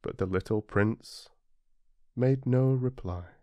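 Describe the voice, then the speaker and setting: A British man narrating a storybook as an audiobook, reading expressively and deliberately with dramatic pauses. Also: medium pitch, warm tone, no echo. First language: English. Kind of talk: scripted storybook narration, read-along.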